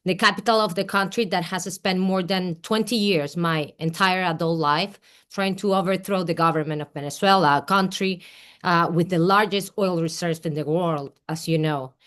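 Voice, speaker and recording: A woman's voice: fairly low pitch, fairly bright tone. Earnest woman from Peru giving a speech. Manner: earnest, deliberate, with small restarts. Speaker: rally speaker. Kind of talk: prepared political speech. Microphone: remote video call.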